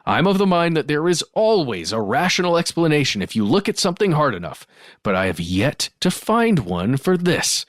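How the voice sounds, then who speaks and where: A man's voice: fairly high pitch, sing-song, moderate pace, no echo, studio quality. A man giving a dramatic reading as a baffled skeptic telling their story, with exaggerated emphasis. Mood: emphatic, baffled. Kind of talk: dramatic reading of a listener letter.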